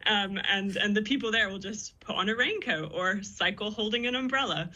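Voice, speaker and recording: A woman's voice: medium pitch, normal speed, lo-fi sound, background noise. A young woman guest in a radio interview, chatty and upbeat, laughing easily as she talks. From born in the US, raised in the Netherlands. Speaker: guest, PhD student.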